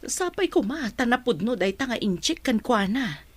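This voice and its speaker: A woman's voice: fairly high pitch, big pitch swings, normal speed, no room sound. A woman playing a concerned village mother in a radio drama. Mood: concerned, curious. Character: concerned Filipino village mother talking about her child. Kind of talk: Ilocano radio drama acting.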